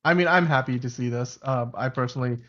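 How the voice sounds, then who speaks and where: A man's voice: medium pitch, fast, fairly bright tone, muffled recording. A man whose first language is Urdu, co-hosting a casual livestream chat, talking in a chatty way, often correcting himself and laughing. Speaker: podcast co-host.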